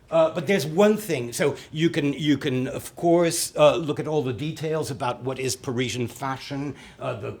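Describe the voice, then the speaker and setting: A university lecturer with a Turkish accent and a loud voice giving a wordy conference talk, with fillers and restarts, into a microphone in a reverberant room. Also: male voice, medium pitch. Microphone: handheld or podium microphone.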